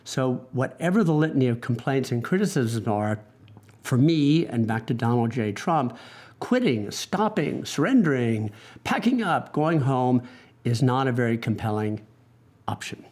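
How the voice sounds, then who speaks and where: A man's voice: medium pitch, big pitch swings, unhurried, a little mellow. Emphatic American man debating. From United States. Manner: emphatic, rhetorical lists. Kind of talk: formal debate argument.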